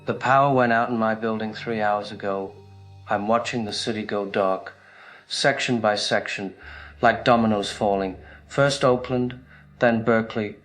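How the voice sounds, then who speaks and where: A man's voice: lowish voice, moderate pace, soft background music, lightly acted. A man playing a weary, resigned survivor recording a reflective diary in an apocalyptic audio drama. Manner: flat, reflective.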